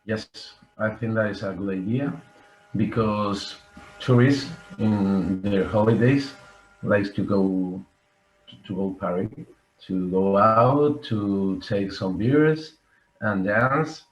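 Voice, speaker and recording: A man with a Spanish accent speaks in halting learner English, his voice fairly low. He goes slowly, and the sound bounces in an echoey room. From Spain.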